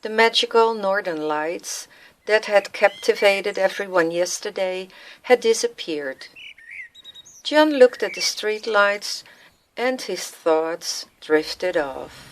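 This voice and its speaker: A woman's voice: medium pitch, expressive, takes it slowly, slight background noise. A woman reading scripted story narration as the narrator of a radio play. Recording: music laid under the voice.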